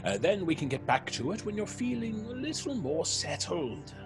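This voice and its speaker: A man playing a troubled theatre actor in an audio horror drama, speaking haltingly with dramatic pauses. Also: sits high, sing-song, music in the background. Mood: placating. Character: troubled theatre actor, haunted by a past tragedy.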